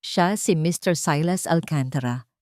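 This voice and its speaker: A woman's voice: low-pitched, measured pace, a little mellow, no room sound, studio quality. A woman with a Filipino accent reading a scripted romance story as a dramatic narrator.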